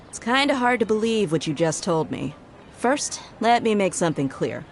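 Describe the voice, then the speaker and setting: A woman's voice: medium pitch, very animated, moderate pace, slight background noise. A loyal, tough woman mercenary from a video game, with a mid-range voice. She talks in a blunt, casual, protective way over faint ambience. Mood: skeptical. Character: tough, loyal female desert mercenary bodyguard, plainspoken and protective.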